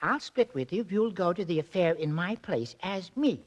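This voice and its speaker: An actor playing a tiny, timid but boastful private detective in a 1940s radio drama, stammering and self-important. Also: male voice, sits high, lively intonation, normal speed, highs cut off. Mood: sheepish, confiding. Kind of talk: 1940s radio comic acting. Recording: old 1940s radio recording.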